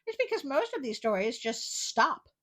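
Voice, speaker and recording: A woman reading fairy tales as a storytelling host, expressive, with wry and incredulous asides. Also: sits high, normal speed.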